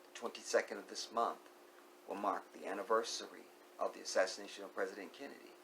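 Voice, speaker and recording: Man, gravelly voice, emphatic opinion monologue, repeats phrases, drops to whispers, rhetorical questions, faint background hiss. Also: on the low side, fairly even intonation, fast talker, fairly bright tone, low-quality recording. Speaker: vlogger.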